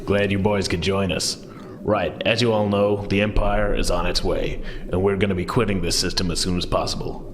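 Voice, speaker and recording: Man playing a deep-voiced brisk squadron commander. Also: little pitch movement, lightly acted. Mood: brisk, businesslike. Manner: brisk, dry briefing delivery. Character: brisk, matter-of-fact male fighter squadron commander. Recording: hall reverb, crowd murmur behind.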